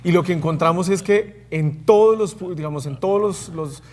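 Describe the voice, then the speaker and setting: Measured man on a debate panel. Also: high-pitched, a little mellow. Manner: measured, hedging with fillers. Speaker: panel guest.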